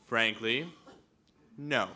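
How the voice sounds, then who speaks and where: An actor playing a gruff, sceptical, blustering police commissioner in old-time radio drama. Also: male voice, medium pitch, very slow, sharp tone, full character voice. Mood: scornful. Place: live stage performance at a convention.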